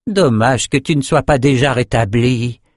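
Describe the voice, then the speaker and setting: A man doing a gleeful, taunting sorcerer, pitched up. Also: measured pace, dry recording, studio quality, close to an everyday voice. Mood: smug. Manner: taunting, theatrical. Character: gleeful, menacing male sorcerer, mocking and smug.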